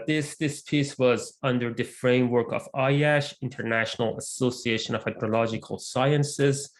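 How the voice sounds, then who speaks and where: A man who is not a native English speaker, presenting his research group's work in an online meeting, measured and with frequent fillers. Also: medium pitch. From immigrant to Canada. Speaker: research lab lead presenting. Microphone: computer mic on video call.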